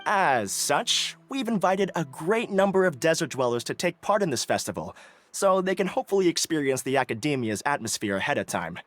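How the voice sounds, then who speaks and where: Courteous festival organizer. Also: male voice, a little high, very animated, a little fast. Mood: explanatory. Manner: courteous, formal, explanatory. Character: polite young male festival organizer. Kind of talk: video game voice acting. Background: soft game music.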